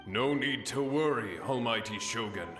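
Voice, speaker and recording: A man's voice: mid-range voice, moderate pace, a bit bright, natural voice. A man voicing a devoted, rousing samurai squad leader in a game, speaking in a stirring, formal way. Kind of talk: game voice acting. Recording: roomy reverb over game music.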